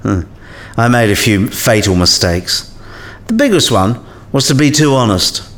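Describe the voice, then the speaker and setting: A man's voice: mid-range voice, expressive, measured pace, a bit bright. An actor playing a disillusioned but easygoing senior male professor, frank and world-weary, in a radio play. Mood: disillusioned, blunt.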